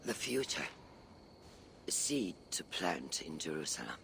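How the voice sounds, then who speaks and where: An actor playing a weary, reflective older warrior in a game cutscene, solemn and gravelly in his delivery. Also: extremely low pitch, very animated, a little slow, bright, forceful tone, slight background noise, heavily acted. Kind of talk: video game cutscene acting.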